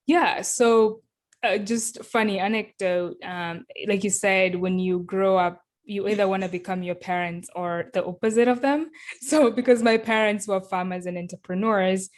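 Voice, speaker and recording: A woman from Kenya answering interview questions over a video call, measured and thoughtful, with frequent ums. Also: mid-range voice, fairly even intonation. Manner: thoughtful, many ums and uhs. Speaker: guest, company founder.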